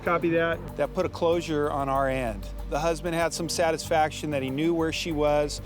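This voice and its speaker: A man's voice: fairly high pitch, fairly even intonation, moderate pace, over music. Plain-spoken lifeguard man.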